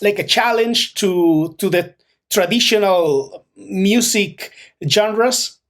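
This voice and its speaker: A man interviewing a musician backstage, asking his questions in a halting, hesitant way. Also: sits high, fairly bright tone.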